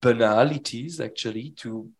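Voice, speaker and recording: A man moderating a public talk, asking questions in a halting way while searching for words. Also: mid-range voice. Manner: halting, searching for words between two languages.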